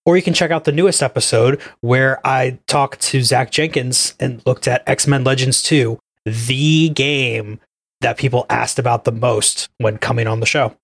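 A man's voice: medium pitch, steady tone, unhurried, vocal fry, fairly bright tone, slightly rough, no room sound. A man chatting casually as a podcast co-host. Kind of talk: casual chat.

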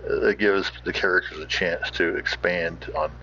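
Deep gravelly folksy man, halting. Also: fairly even intonation, sharp tone, slight background noise. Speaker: podcast host. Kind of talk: informal rambling commentary. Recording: dull, muffled recording.

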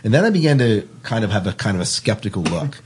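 A man's voice: on the low side, sing-song, fast talker, a little hiss or hum. A man hosting a casual film discussion, putting questions and giving his own opinions. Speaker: podcast host.

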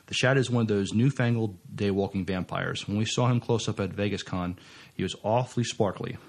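A man co-hosting a podcast, reading out entertainment news and chatting, with a halting, stumbling delivery. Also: fairly low pitch. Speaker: podcast co-host.

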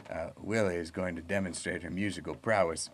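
Low-voiced man hosting a folksy interview. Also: steady tone, a little fast, slight background noise. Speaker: podcast host. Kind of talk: comic, chatty interview.

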